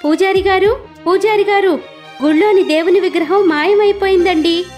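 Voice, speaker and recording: A woman voicing a poor devout village woman who cries out high and pleading. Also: moderate pace, a little mellow, soft background music. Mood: alarmed.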